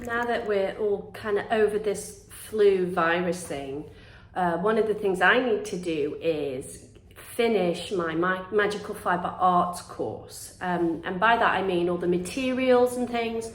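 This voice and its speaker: A woman's voice: medium pitch, measured pace, poor audio. Congested woman chatting casually. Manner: rambling, chatty, with restarts and ums. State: congested from the flu. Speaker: channel host, witchcraft crafts teacher. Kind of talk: casual chat to camera.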